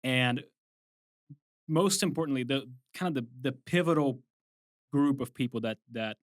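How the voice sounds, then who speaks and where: A young adult man from Brazil, a guest film composer, telling his story in a relaxed, rambling interview style with frequent restarts. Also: mid-range voice, measured pace, no room sound, studio quality. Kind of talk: casual interview chat.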